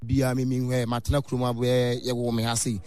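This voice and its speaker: A man's voice: mid-range voice, completely flat delivery, a little fast, a little mellow. A man with a Ghanaian accent giving an earnest comment in a street interview. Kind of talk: vox pop comment.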